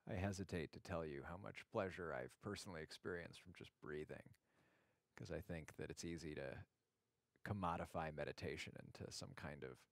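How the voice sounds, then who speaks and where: A man leading an informal guided meditation in a gentle, reflective way, speaking haltingly with long pauses. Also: low-pitched, vocal fry, no room sound.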